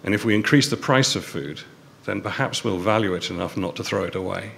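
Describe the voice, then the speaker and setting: Emphatic low-voiced man, faint hiss. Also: fairly quick, vocal fry. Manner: forceful, emphatic repetition. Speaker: panelist, restaurateur. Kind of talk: panel debate speech.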